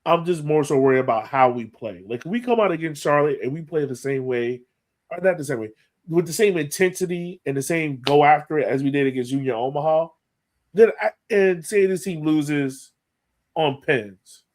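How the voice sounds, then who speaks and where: Higher-voiced man chatting about sports. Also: animated, normal speed. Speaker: sports podcast co-host. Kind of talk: casual soccer analysis chat.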